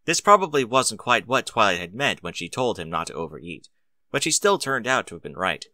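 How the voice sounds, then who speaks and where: A man reading scripted story narration in an expressive storytelling manner. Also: fairly low pitch, brisk, dry recording.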